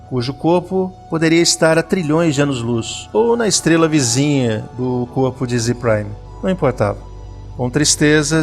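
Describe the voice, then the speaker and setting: A man narrating a scripted audio drama in a solemn, literary reading style, with music behind him. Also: on the high side.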